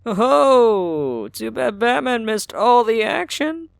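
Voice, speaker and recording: A man's voice: very high-pitched, sing-song, takes it slowly, gravelly, no echo, studio quality, full character voice. A young man playing a cocky teenage hacker sidekick in a comic dub, brash and slangy. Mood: triumphant. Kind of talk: comic book dub acting.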